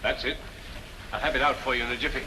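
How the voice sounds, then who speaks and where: An actor playing a brisk, confident gentleman courier in an old-style drama. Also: medium pitch, lightly acted. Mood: brisk, assured. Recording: distant, noisy old soundtrack.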